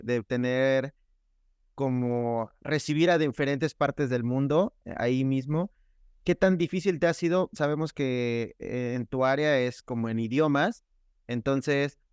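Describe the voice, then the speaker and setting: A man's voice: a little high, clear, resonant tone, no echo, studio quality. A man hosting a podcast, asking long, hesitant questions that circle around and end in "¿no?". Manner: hesitant, rambling questions with repeated words and "¿no?". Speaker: podcast host, teacher. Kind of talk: interview questions.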